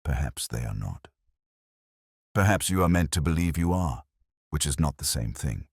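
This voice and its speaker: A man voicing a shrewd, softly spoken police inspector in a mystery story, deliberate and given to cryptic, philosophical remarks. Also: very deep voice, expressive, breathy edge, dry recording, studio quality, full character voice. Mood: grave, deliberate. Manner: murmuring, deliberate, aphoristic.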